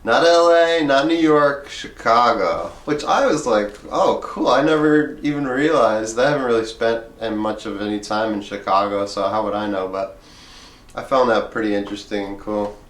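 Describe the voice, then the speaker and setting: A man's voice: on the low side, normal speed, fairly bright tone. Man reading aloud expressively in an echoey room. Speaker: reader of a memoir. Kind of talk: expressive audiobook-style reading aloud with casual asides.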